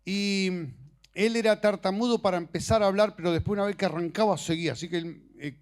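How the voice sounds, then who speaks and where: Middle aged guy preaching, pretty high voice, native portuguese speaker, chatty and halting w/ jokey asides and personal stories. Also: fairly even intonation. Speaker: preacher and missionary. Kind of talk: informal sermon with personal stories.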